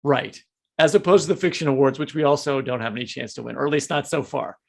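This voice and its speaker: A man co-hosting a podcast in a conversational, joking style that turns halting and rambling off script. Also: medium pitch, vocal fry. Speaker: podcast co-host.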